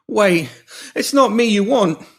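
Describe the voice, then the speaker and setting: A man's voice: sits high, animated, slow, voice pushed up, heavily acted. A man voicing a seedy male pimp in an audiobook story, breathless, pleading and babbling in desperation. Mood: panicked, pleading.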